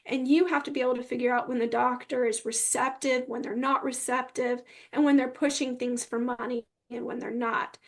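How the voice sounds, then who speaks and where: High-voiced woman giving advice. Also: flat delivery, fairly quick, hardly any pauses. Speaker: podcast guest. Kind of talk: conversational advice.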